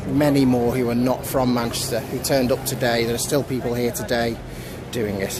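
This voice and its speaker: A man gives an unscripted on-location news report, speaking haltingly and repeating words as he goes. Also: medium pitch, flat delivery. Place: outdoors in a busy city square. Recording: outdoor city crowd and traffic noise. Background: crowd and traffic.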